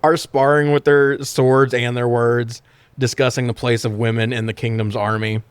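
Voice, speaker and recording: A man's voice: mid-range voice, flat delivery, moderate pace, clear, resonant tone, dry recording. A man hosting a podcast, recapping a film in a casual, comedic way with plenty of swearing and sarcastic riffing.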